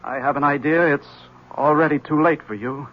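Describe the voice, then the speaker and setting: A man playing an ominous, resigned husband in a 1970s radio drama, measured, evasive and foreboding. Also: fairly high pitch, steady tone, close to an everyday voice. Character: ominous, resigned husband giving veiled warnings. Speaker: actor. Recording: dull, hissy old radio recording.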